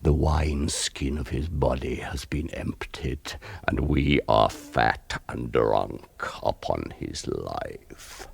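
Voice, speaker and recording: An ancient vampire count in a radio play, his voice extremely deep and husky. He speaks slowly, savouring each grand, ornate phrase with gloating, mournful relish. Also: no echo, full character voice. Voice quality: gravelly, husky. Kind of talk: 1970s radio drama acting.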